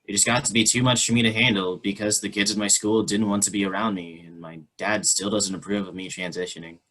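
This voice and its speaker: Low-voiced reader for an emotional teen role in a video call play reading, sincere and subdued. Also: male voice, flat delivery, quick pace, rough recording. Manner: earnest, quietly emotional.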